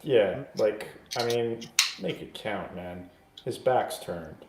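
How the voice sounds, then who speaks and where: A man chatting casually as a film review guest, halting, with deadpan jokes. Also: on the low side, roomy sound, background noise. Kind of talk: casual chat.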